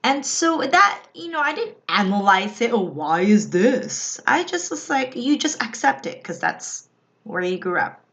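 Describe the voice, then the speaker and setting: A woman from the Philippines vlogging in a casual, reflective way, often pausing and restarting her thoughts. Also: fairly high pitch, very animated, fairly bright tone. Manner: slow, reflective, with frequent restarts. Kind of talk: personal casual vlog talk. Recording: slightly dull lo-fi recording.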